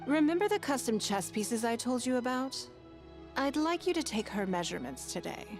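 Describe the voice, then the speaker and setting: A woman's voice: on the high side, sing-song, moderate pace. A woman playing a refined, composed noblewoman in a video game, speaking in a formal, authoritative way. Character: poised, refined noblewoman, composed and mildly exasperated. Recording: background music under voice.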